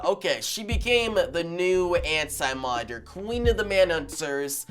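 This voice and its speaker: A man's voice: a little high, a bit bright. A man giving casual, slangy reaction commentary as a video host, exclaiming with emphasis.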